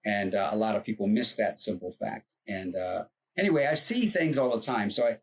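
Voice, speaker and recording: Casting: husky, low-pitched male co-host, earnest, rambling explainer. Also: lively intonation, a little fast. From United States. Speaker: co-host, financial advisor. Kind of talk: casual podcast chat.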